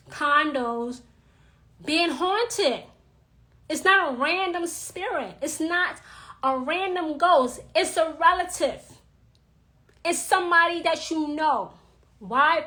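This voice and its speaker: A woman with a New York accent giving a blunt, emphatic livestream talk as a witchcraft teacher, with a slightly raspy voice and a habit of repeating her points. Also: sits high, pushed and bright.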